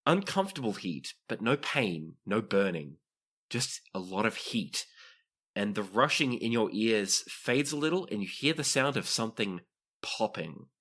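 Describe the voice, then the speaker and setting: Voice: medium pitch, sing-song, unhurried, a bit bright. A game master narrating a tabletop roleplaying podcast, switching between dramatic storytelling and dry joking.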